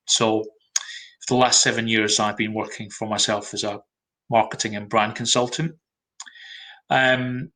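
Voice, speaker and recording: A middle-aged Scottish man, a brand consultant, talking in a webinar at a measured pace with frequent ums. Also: medium pitch, pushed and bright, low-quality recording. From Scotland. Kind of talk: webinar talk.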